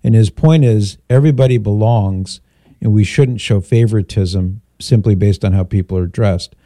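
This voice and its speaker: A middle-aged man hosting a call-in radio show, giving measured, gently persuasive pastoral advice to callers. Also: fairly low pitch, dry recording, clean studio sound.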